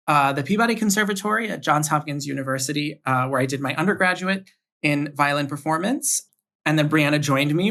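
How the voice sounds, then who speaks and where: A man's voice: fairly high pitch, a bit bright. Thoughtful young man telling his story. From Miami, United States. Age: young adult. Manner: thoughtful, with frequent restarts and repeated words. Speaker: podcast guest. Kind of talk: conversational interview.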